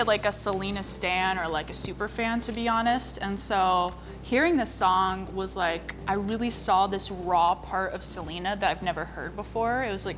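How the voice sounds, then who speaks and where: A woman's voice: mid-range voice, a bit bright, slight background noise. A young woman, a singer and model, talking casually about her music with lots of "like" fillers. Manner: chatty, frequent "like" fillers. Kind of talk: casual personal storytelling. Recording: music playing underneath.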